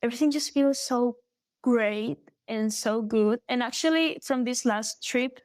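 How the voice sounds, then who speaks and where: Casting: young Galician woman, Spanish accent, high-ish voice, lively guest, dry close sound. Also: measured pace, no room sound. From Galicia, Spain. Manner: animated, laughs often, restarts her sentences. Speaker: guest singer. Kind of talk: casual interview chat.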